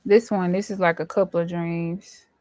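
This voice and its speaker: A woman with a fairly low voice hosts a casual chat for her viewers. She speaks informally and colloquially, like someone telling stories. The sound is dry, with very little room in it. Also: steady tone, normal speed, warm tone, no room sound. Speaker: host, dream interpreter.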